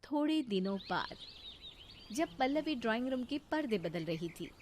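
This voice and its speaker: A woman's voice: on the high side, sing-song, moderate pace, slight background noise. A woman narrating a scripted cartoon story as a storyteller.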